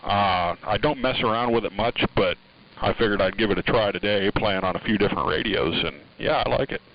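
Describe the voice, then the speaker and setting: A man's voice: fairly low pitch, brisk. A man talking casually over two-way radio, easygoing and agreeable, using operator lingo. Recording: dull band-limited radio transmission.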